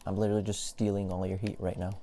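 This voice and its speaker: A man playing a playful, affectionate, clingy young boyfriend in a soft, intimate, teasing ASMR roleplay, with keyboard clicks behind him. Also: deep voice, flat delivery, racing. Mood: cuddly, playful. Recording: faint keyboard and mouse clicking noise. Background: game sounds, keyboard typing and clicking.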